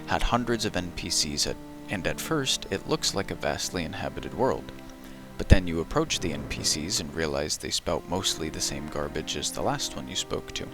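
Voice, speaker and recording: A man in his adult years giving scripted, dry and wry voice-over narration as a video essayist. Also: deep voice. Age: adult who played as a child in the early 2000s.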